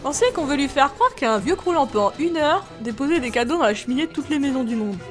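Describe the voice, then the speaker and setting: A woman's voice: high-pitched, animated, quick pace. A woman giving commentary in mock-documentary style. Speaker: commentator in a satirical investigation video. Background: background music under the voice.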